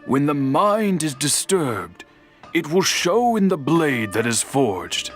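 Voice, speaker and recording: Elderly sword master, wise and stern; slightly high, varied, lecturing. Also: male voice, sing-song, fairly bright tone, soft background music, exaggerated voice. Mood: calm. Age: older. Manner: measured, formal, lecturing. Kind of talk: video game voice acting.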